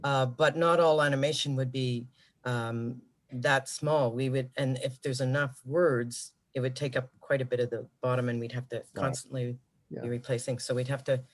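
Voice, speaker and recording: Man calmly pitching animation ideas on a call. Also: normal speed. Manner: calm, explains ideas step by step with fillers. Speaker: animation studio producer. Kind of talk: video call meeting. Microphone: video call.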